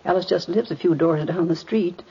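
An actress playing a gentle, grieving mother in her mid sixties in a 1950s radio drama, polite, hesitant and emotional. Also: on the low side, fairly quick, slightly rough. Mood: subdued, wistful. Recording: muffled vintage radio recording.

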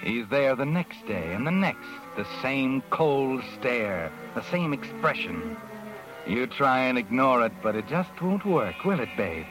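Ominous male narrator, insinuating, very varied pitch, old radio mystery, music behind, vintage recording. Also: very animated, measured pace, low-quality recording. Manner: insinuating, second-person storytelling. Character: ominous, knowing male narrator taunting the guilty heroine.

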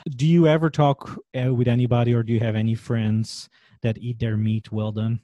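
A man's voice: mid-range voice, little pitch movement, normal speed, dark tone, no room sound, highs cut off. A man from Portugal with a Portuguese accent, hosting an interview podcast in a playful way with joking asides. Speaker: podcast host.

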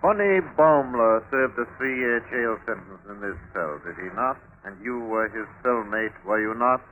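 On a tinny, lightly hissing vintage radio broadcast, a man plays a composed magistrate in a period drama. His questioning is formal and insistent, with lively swings of pitch. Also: moderate pace, natural voice. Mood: formal probing. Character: probing, composed magistrate questioning witnesses, 19th century. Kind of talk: old-time radio drama acting.

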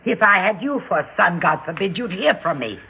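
An actress playing an indignant, loyal older shopkeeper's wife, scolding in Yiddish-inflected phrasing in an old-time radio drama. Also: female voice, fairly low pitch, animated, a little fast, gravelly, breathy edge, full character voice. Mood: reproachful. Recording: noisy, muffled old radio recording.

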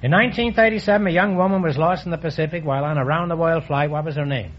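A man hosting a quiz show, cracking quick puns and teasing his contestants. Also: on the high side. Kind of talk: joking quiz show banter. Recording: dull old radio broadcast with hiss.

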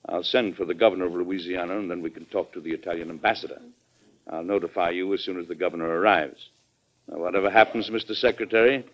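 An actor playing a firm, measured American president in a classic radio drama, speaking with formal, deliberate courtesy. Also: male voice, low-pitched, lightly acted. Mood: firm, businesslike. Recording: dull old radio broadcast recording.

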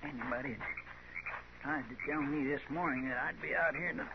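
An American actor playing a folksy, deferential, grumbling deputy in a 1950s radio Western, with a rural drawl. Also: male voice, fairly high pitch, brisk, lightly acted. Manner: drawling, plain-spoken, deferential. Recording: muffled, very noisy old radio recording.

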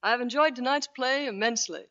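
A woman's voice: a little high, fairly quick, a bit bright. Woman officer on muffled 1940s radio. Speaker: Navy officer, radio guest. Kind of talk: scripted 1940s radio interview. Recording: dull lo-fi 1940s radio recording.

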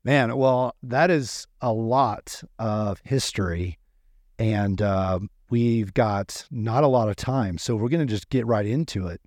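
A man's voice: lowish voice, slow pace, darkish tone, no room sound, studio quality. A man co-hosting an interview podcast in a casual, conversational style. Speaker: podcast co-host.

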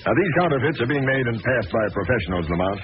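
An actor playing a busy, dismissive police commissioner with a brusque, skeptical manner in 1940s radio drama style. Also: male voice, medium pitch, big pitch swings, quick pace, lightly acted. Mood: dismissive, impatient. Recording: muffled, noisy vintage radio recording.

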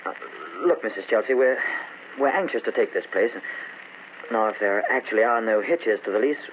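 A man's voice: on the low side, fairly quick, natural voice. A man playing an easygoing, sensible young husband in an old-time radio drama, on a noisy, tinny recording. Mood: earnest, eager. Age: young adult.

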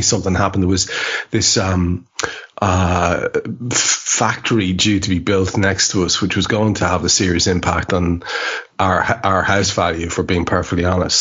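A man's voice: low-pitched, breathy edge. A middle-aged man hosting a podcast in casual chat, with a wordy, colourful way of talking. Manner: wordy, colourful turns of phrase. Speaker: podcast host.

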